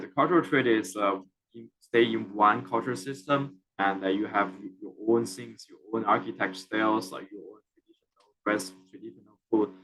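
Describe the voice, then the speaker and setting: A young man from China with a Chinese accent, giving a class presentation in a halting way with many fillers and restarts. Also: fairly low pitch, rough recording. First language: Mandarin Chinese. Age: young adult. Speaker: student presenter.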